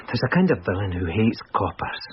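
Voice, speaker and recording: An actor playing a hungover, apologetic police detective sergeant in a radio drama, halting and deferential. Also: male voice, on the low side, very animated. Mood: earnest, muddled. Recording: dull lo-fi radio audio, faint noise.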